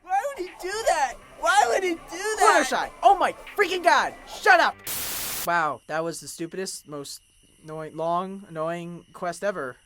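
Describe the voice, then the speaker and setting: A woman's voice: mid-range voice, animated, normal speed, crowd noise, lightly acted. A woman voicing an exasperated, sarcastic young female pony in a cartoon parody dub. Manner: sarcastic, impatient.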